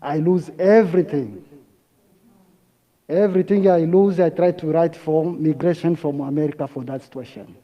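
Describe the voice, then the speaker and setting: High voiced guy from congo, broken english, slow and repetitive, muffled lo-fi sound. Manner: broken, repetitive phrasing, unhurried with long pauses. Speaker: guest speaker. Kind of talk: halting personal testimony. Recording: dull lo-fi sound.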